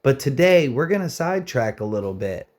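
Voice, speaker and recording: A man's voice: medium pitch, big pitch swings, a little fast, a little mellow. A man hosting a hobby tutorial, talking informally and conversationally as he explains things step by step. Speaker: tutorial host, radio hobbyist. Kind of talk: casual how-to walkthrough.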